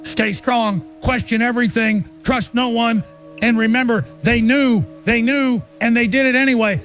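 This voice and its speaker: A man's voice: extremely high pitch, fairly even intonation, moderate pace, fairly bright tone, faint music behind. A man playing a defiant underground conspiracy broadcaster in an apocalyptic audio drama, delivering urgent warnings in short, emphatic slogans. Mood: resolute. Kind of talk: dramatic radio monologue.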